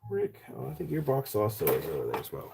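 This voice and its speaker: A man with a raspy voice, chatting casually as he sells rocks on a live stream and thanks buyers by name. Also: lowish voice, huge pitch swings, quick pace, over loud music. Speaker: live stream rock seller. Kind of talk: casual live sale chat.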